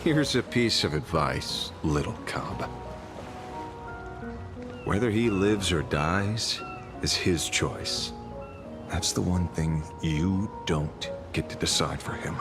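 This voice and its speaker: Game role: worldly sardonic rogue, low-pitched voice, cool composure, music underneath. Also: male voice, lively intonation, slow pace, pauses often, exaggerated voice. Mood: wry, composed. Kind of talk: video game voice acting.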